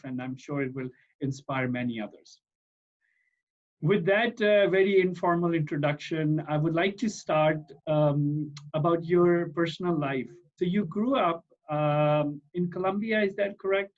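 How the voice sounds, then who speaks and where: A man hosting an informal interview with halting, deliberate phrasing, recorded with dull, muffled sound. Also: a little high. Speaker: interview host.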